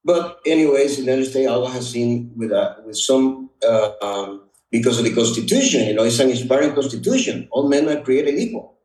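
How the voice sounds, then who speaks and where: A man's voice: medium pitch, lively intonation, a little mellow. Echoey, distant Honduran-accented man telling stories. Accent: Honduran Spanish. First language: Spanish. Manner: halting, reflective, often repeats "you know". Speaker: podcast guest. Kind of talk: personal storytelling in conversation. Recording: roomy echo, distant microphone.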